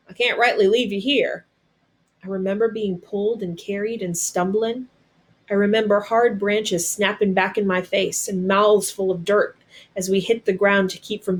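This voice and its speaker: A woman reads a storybook aloud. Her narration is expressive, in a medium-pitched voice. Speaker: storybook reader.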